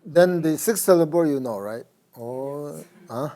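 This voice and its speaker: A man with a Tibetan accent teaches a small class in a very unhurried way. He recites mantra syllables slowly, then explains practice in broken, hesitant phrases with lots of "you know" and "uh". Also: a little high, dark tone. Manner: slow chanting, then halting conversational explanations with fillers. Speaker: Buddhist teacher. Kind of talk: dharma teaching with mantra chanting. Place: teaching room with students.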